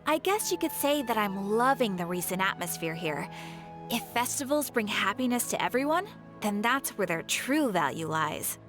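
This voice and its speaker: A woman's voice: mid-range voice, very animated, normal speed, music in the background, close to an everyday voice. A woman playing a cheerful, musing guild receptionist on break in a video game. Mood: musing, friendly.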